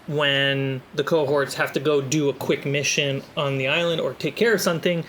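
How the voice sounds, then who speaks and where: A man narrating as game master for a tabletop game, relaxed and conversational. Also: on the high side, normal speed, slight background noise.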